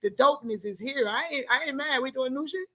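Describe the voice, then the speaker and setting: A woman hosting a live show in loud, slangy, hyped-up banter. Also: sits high, fairly quick, crowd noise. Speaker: show host.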